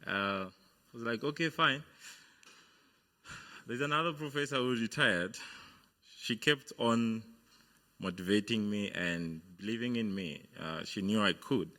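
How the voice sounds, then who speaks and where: Man from Uganda, deep voice, sharp tone, halting on-stage speech full of ums. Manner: hesitant, many fillers and restarts. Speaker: guest speaker, business founder. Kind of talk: motivational speech. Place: on stage at a university event.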